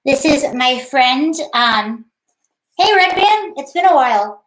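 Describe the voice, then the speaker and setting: Woman host, very high voice, chatty and rambling, casual livestream, echoey room. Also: animated, slow pace, fairly bright tone, slight background noise. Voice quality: high-pitched. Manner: chatty, rambling, greets viewers by name.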